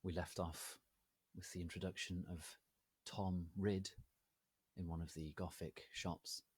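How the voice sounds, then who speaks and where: A man giving a formal, measured, solemn introduction as the host of a dramatic reading. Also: sits low, level tone, breathy edge, dry recording. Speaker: narrator, host of a serial reading.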